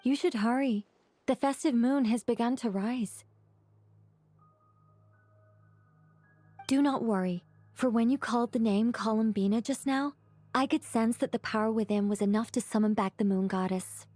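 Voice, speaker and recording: A woman voicing a serene yet talkative angel mentor in a video game, explaining things gently and theatrically. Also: fairly high pitch, moderate pace, dry recording, lightly acted. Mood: solemn. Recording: background music under voice.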